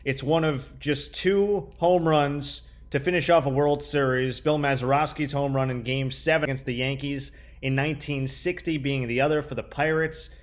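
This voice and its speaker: A man's voice: mid-range voice, steady tone, normal speed, few pauses. A young man hosting a conversational sports interview. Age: young adult. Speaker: interview host, sports broadcaster.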